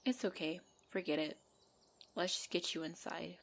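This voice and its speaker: A woman's voice: on the low side, moderate pace. A woman voicing a possessive female centaur in an ASMR roleplay, bantering and commanding, with dry exasperation. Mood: softer, reassuring. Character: possessive female centaur, bossy, teasing, half-gentle captor.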